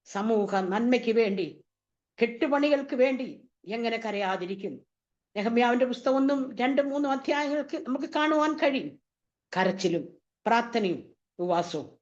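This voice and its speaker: Female preacher, fervent and repetitive, slightly high voice, muffled lo-fi recording. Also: darkish tone. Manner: impassioned, repeats rhetorical questions. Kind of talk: sermon. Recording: dull lo-fi recording.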